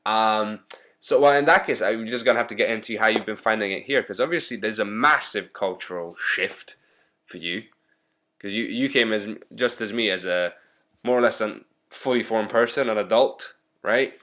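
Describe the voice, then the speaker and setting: A man hosting a podcast who talks in a relaxed, encouraging way and thinks out loud while asking questions. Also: fairly low pitch, normal speed. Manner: chatty, encouraging, thinks aloud. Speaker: podcast host. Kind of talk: casual interview chat.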